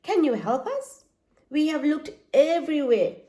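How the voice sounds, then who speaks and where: A woman's voice: sits high, sing-song, measured pace, dark tone. A woman voicing three fussy noisy foxes.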